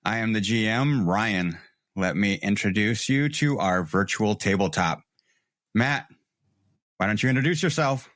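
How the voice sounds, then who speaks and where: Man in his forties, easygoing host, lowish voice, dry close mic. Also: a little slow, fairly bright tone, dry recording. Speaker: game master and host. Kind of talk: casual podcast intro.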